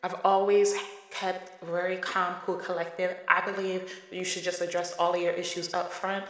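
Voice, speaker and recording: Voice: medium pitch, level tone, normal speed, fairly bright tone. A podcast host in their thirties, talking casually and emphatically in a solo monologue. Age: 30s. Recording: echoey room with long reverb.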